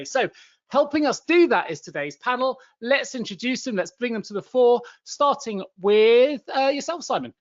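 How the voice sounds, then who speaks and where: A man's voice: very high-pitched, no room sound, highs cut off. A man in his forties hosting a webinar as an upbeat, fluent presenter over a video call. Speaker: webinar and podcast host.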